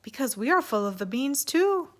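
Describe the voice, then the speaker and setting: A woman voicing an excitable, dramatic little male Chihuahua sword fighter in a children's storybook. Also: high-pitched, moderate pace, voice pushed up. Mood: puzzled. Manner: exclamatory, dramatic, Spanish-sprinkled speech.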